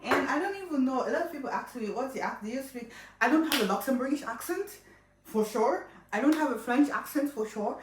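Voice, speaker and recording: A woman's voice: sits high, animated, fairly quick, reverberant, distant mic, background noise. A young woman with a Nigerian accent chatting playfully to viewers while cooking in her home kitchen, laughing often. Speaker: cooking vlogger. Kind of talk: casual cooking tutorial chat.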